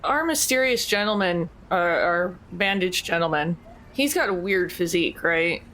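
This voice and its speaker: A woman's voice: on the low side, animated, moderate pace, a bit bright. A woman playing a tabletop role-playing game, thinking aloud and chatting casually with the group. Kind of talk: casual speculative table talk.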